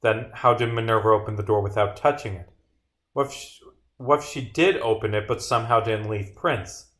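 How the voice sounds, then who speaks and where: A man's voice: fairly low pitch, normal speed, a bit bright. A man casually livestreaming his own game, reading dialogue aloud and muttering short asides to himself. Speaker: game developer streaming.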